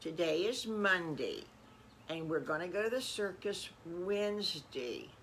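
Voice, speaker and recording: A woman voicing a firm, patient mother pig in a children's story, calmly giving instructions. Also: fairly low pitch, a little slow, noisy background.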